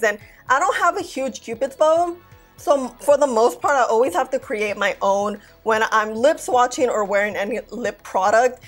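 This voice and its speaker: A woman in her thirties, a beauty vlogger, giving a loud, excitable, chatty makeup review straight to camera at home. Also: on the high side. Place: indoor filming set with plain backdrop.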